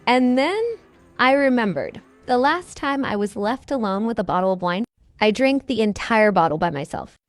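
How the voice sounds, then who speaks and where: A woman's voice: a little high, animated, normal speed, dry recording, soft background music. A young woman vlogger telling a personal story in a candid, confessional way, with a voice filter on her voice.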